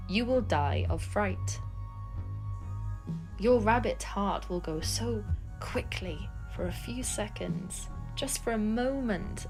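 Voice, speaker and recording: An actress plays a young woman out for revenge in an intimate radio play. She speaks slowly at a middle pitch, pointed and predatory, with cool menace in each deliberate phrase. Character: vengeful young woman Ruby, coolly menacing, predatory hunter. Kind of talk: intimate audio drama monologue.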